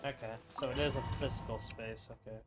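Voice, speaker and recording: A woman's voice: rumbling low, fairly even intonation, fairly quick. A woman doing casual gameplay commentary, reacting with blunt, sceptical, offhand asides. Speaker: gamer reacting to game story. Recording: game music behind the voice.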